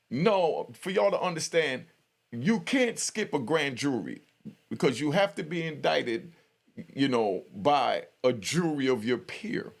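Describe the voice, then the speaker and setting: Gravelly middle-aged man, streetwise storyteller. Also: medium pitch. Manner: streetwise, slangy, conversational, checks in with listener. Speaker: YouTube storyteller and commentator. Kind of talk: casual spoken prison storytelling and commentary.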